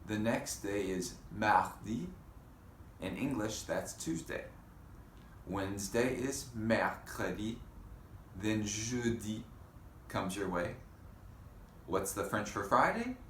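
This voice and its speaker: A man teaching young children in a read-aloud lesson, speaking in simple, deliberate phrases and putting questions to them. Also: lowish voice, animated. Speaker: elementary school teacher. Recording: echoey room with faint background noise.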